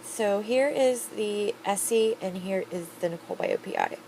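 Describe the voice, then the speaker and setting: A woman's voice: medium pitch, moderate pace, creaky, dark tone, slight background noise, rough recording. A woman vlogger reviewing products in a casual, chatty, upbeat way, rambling with fillers. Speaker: beauty vlogger.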